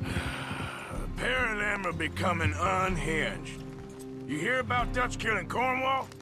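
An actor playing a weary, skeptical outlaw who talks bluntly and gruffly. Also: male voice, high voice, animated, slow pace, music in the background. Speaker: outlaw gang member.

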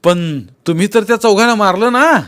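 A man voicing a stern grown villager in a story, loudly and insistently challenging with pointed questions. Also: high voice, normal speed, clean tone, voice pushed up. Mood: pointed, probing.

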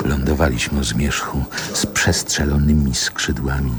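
An actor playing a nostalgic narrator in a radio play, measured, lyrical and reflective. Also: male voice, a bass voice, fairly even intonation, a little hiss or hum. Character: male narrator, nostalgically recalling a Warsaw boyhood. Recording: music behind the narration.

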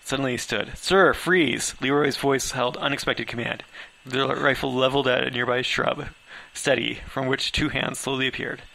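A man narrates a first-person story in a home recording. His voice is sharp and forceful, with a creaky edge, and his reading is informal and slightly unpolished. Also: medium pitch, level tone, moderate pace, low-quality recording. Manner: stumbles over words, laughs while reading. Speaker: author reading own story aloud.

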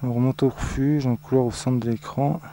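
A man's voice: medium pitch, a little fast, noisy background. A man casually narrating a ski touring trip outdoors on a snowy glacier, pointing out the route as he goes. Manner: relaxed, points things out with "voilà" and "là".

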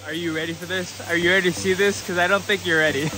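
Young man, Tagalog native speaker, travel vlog, outdoors in forest, phone at arm's length, fairly high voice, music behind. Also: fast, rough recording. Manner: upbeat, excitable, laughs often. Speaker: travel vlogger. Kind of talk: casual travel vlog to camera. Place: outdoors in a tropical forest by waterfalls.

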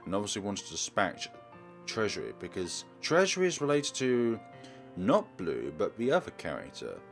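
A man's voice: fairly low pitch, wildly swooping pitch, normal speed, vocal fry, soft background music. A man hosting a let's play, dramatically reading a visual novel aloud and commenting on it.

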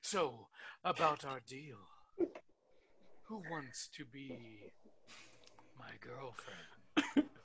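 A performer at a comedy table read playing an eager, clingy, lovesick man who runs a funeral home, with halting, drawn-out emphasis. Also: medium pitch, lively intonation, background noise. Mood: pleading, lovesick.

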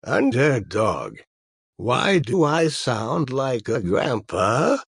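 A man plays a cartoon lamppost with the voice of a grumbling old grandpa. The delivery is very slow and drawn out, with a husky, wobbly rasp. The pitch swoops widely as he complains. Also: big pitch swings, dry recording, clean studio sound, exaggerated voice. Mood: distressed. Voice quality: raspy, wobbly elderly-sounding. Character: singing lamppost whose voice has turned old and grandpa-like.

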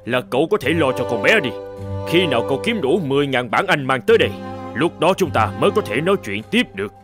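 Man playing a stern, domineering father, swinging pitch. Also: big pitch swings, fast, lightly acted. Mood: cold, scornful. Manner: harsh, commanding. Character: stern, domineering wealthy father, bald and bearded. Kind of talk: dubbed cartoon drama. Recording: music behind the voice.

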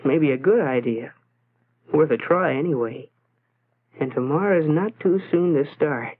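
An actor playing a lonely, self-doubting teenage schoolboy, musing to himself in a brooding way in a 1940s educational film style, on a tinny, noisy soundtrack. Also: male voice, fairly high pitch, unhurried, breathy edge. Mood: pondering. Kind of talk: 1940s educational film inner-monologue narration.

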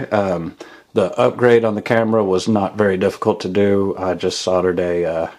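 A man's voice: lowish voice, darkish tone. A man hosting a casual product review voice-over in a matter-of-fact way. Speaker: product reviewer and channel host.

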